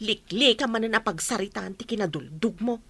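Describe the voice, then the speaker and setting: A woman's voice: mid-range voice, a little fast, a bit bright, breathy edge, no echo. A woman acting a scolding, resentful village wife in a radio comedy drama, nagging and sarcastic. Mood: suspicious, bitter.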